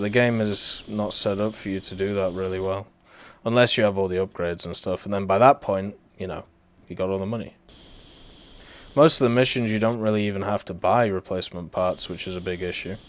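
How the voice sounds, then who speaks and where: A young British man whose accent has softened over years in Canada, chatting casually while streaming a game and answering chat. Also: lowish voice, slight background noise. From Britain. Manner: laid-back, rambling, answers chat. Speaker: livestreamer. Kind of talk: casual gameplay commentary.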